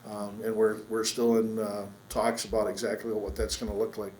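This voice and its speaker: A man chairing a county board meeting, speaking in a measured way with many fillers and restarts. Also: on the low side, level tone, a little mellow. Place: council chamber. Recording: echoey meeting room with faint hum.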